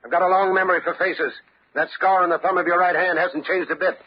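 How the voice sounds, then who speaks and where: Dry, weary police lieutenant. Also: male voice, high-pitched, steady tone, quick pace, breathy edge. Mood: accusing, pressing. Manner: businesslike, dry, pressing. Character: weary, shrewd veteran male police lieutenant. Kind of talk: old-time radio crime acting. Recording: dull old radio recording, faint noise.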